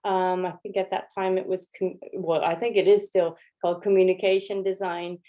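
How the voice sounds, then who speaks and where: A woman leading an art workshop, talking through her slides in a relaxed, chatty way with lots of ums and restarts. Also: fairly low pitch, moderate pace. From Los Angeles. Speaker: workshop leader. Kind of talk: informal lecture with slides.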